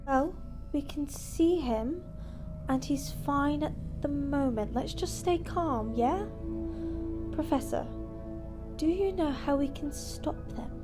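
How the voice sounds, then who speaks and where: An actress playing a calm, supportive time traveller's companion in an audio drama, measured and a little hesitant. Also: female voice, high voice, over music.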